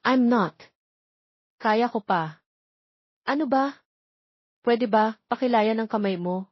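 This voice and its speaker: A woman's voice: fairly high pitch, slow, husky, dry recording, muffled recording. Feisty, stubborn young woman acted by a female narrator. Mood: tipsy, defiant. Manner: indignant, stubborn, code-switching into English. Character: feisty, tipsy, defiant young single woman.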